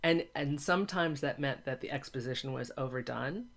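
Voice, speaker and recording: A woman's voice: a bass voice, normal speed. A woman chatting casually as an interview guest, enthusiastic and emphatic, often repeating words for stress. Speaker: interview guest, audio drama maker.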